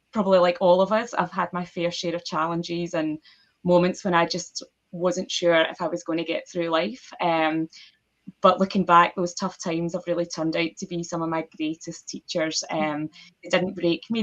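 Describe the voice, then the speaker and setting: A Scottish woman with a low voice introduces herself in an earnest, deliberately slowed way. The audio is dull and lo-fi. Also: flat delivery, a bit bright. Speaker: divorce coach. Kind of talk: self-introduction on a panel.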